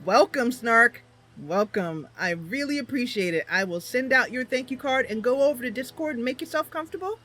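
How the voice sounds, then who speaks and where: A woman's voice: on the high side, lively intonation, fairly quick, bright, forceful tone, soft background music. A woman hosting a livestream, chatting casually and effusively with viewers. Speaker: livestream host.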